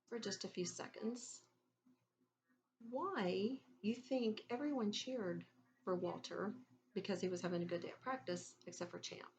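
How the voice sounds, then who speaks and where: A woman reading a children's story aloud in an expressive, deliberate teacherly way, pausing to put questions to her listeners. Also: medium pitch, slight background noise.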